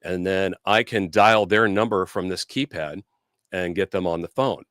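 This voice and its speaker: A man's voice: sits low, normal speed, fairly bright tone, no echo, clean studio sound. A man hosting a casual live product demo and interview, explaining things in an informal, conversational way. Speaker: host and product demonstrator.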